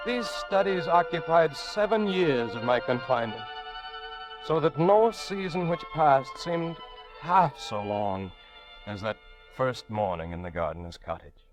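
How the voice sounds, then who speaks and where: A man's voice: medium pitch, expressive, unhurried, lightly acted. An actor playing an anguished, lonely prisoner recounting his confinement in an old radio drama, with theatrical, intense delivery. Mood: reflective. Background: music.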